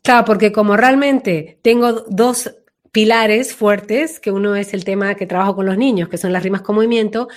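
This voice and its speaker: A woman's voice: mid-range voice, steady tone, moderate pace. A woman recovering from flu, explaining as an interview guest in a reflective way, repeating phrases for emphasis. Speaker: interview guest, voice work coach.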